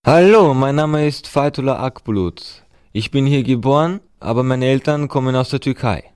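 A man's voice: medium pitch, moderate pace, darkish tone, clean tone, dry recording, clean studio sound. Curious young man asking questions. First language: Turkish. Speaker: student interviewer. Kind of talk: casual interview.

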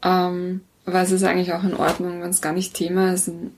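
A woman's voice: lowish voice, monotone, brisk, a little hiss or hum. A woman with an Austrian accent, an interview guest explaining things casually and often rephrasing herself. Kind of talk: casual reflective interview talk.

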